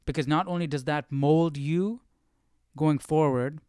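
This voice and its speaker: A man's voice: on the high side, measured pace, darkish tone, clean tone, no room sound, clean studio sound. A man hosting a live study session, explaining passages casually and often checking for agreement with short tag questions. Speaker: Bible study livestream host. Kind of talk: casual live commentary on verses.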